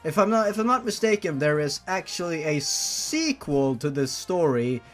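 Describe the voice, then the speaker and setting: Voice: mid-range voice, very animated, normal speed, faint music behind. A host giving casual comedic reaction commentary on amateur fiction, melodramatic and exasperated, swearing freely. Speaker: host of a fan fiction reading series.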